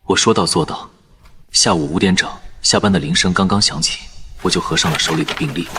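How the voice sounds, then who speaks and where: Very deep-voiced male storyteller. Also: brisk, pushed and bright. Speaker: narrator. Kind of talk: first-person story narration.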